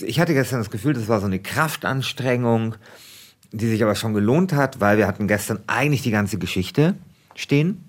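A man hosting a podcast, switching between reading a script aloud and casual chat. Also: on the low side. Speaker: podcast host.

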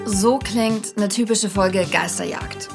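Earnest, creaky-voiced young podcaster. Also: female voice, medium pitch, takes it slowly, music in the background. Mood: confiding. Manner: confiding, addressing listeners directly. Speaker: podcast host. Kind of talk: conversational narration in fiction podcast.

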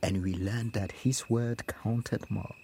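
A man's voice: fairly low pitch, fairly even intonation, takes it slowly, dark tone, a little hiss or hum. A man playing a solemn witness recalling colonial schooling in a radio drama. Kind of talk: slow radio drama monologue.

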